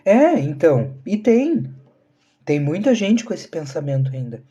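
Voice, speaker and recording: A man's voice: fairly high pitch, very animated, unhurried, mellow, breathy edge. A man, talking earnestly to viewers on a live stream and asking rhetorical questions. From southern Brazil. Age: 30s. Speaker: spiritual channel host.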